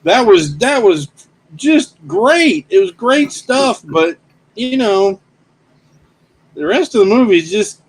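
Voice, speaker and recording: Fifty-something guy, highish voice jumping all over, blunt movie opinions, lots of restarts. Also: big pitch swings, slow, pauses often, husky, poor audio. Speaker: podcast co-host. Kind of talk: casual movie chat.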